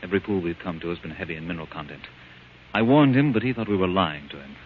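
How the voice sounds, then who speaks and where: A man's voice: deep voice, expressive, fast, slight background noise. An actor playing an anxious, protective man with a guilty secret in 1940s radio drama style. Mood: explaining. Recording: muffled, humming old radio recording.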